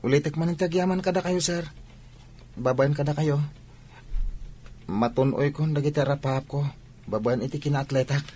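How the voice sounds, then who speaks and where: A man's voice: fairly high pitch, moderate pace, pauses often, darkish tone, a little hiss or hum, lightly acted. A man playing a respectful, eager young athlete in an Ilocano radio drama, with an Ilocano Filipino accent.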